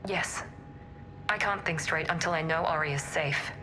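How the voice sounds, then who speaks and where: A woman's voice: low voice, normal speed, a little hiss or hum, close to an everyday voice. A woman playing a guarded, determined operative on the run in a video game, controlled and intense. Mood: resolute.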